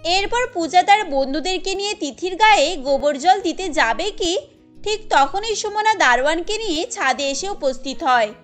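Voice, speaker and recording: Very high-pitched woman narrating a cartoon. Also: expressive, normal speed, faint music behind. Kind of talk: scripted cartoon storytelling narration.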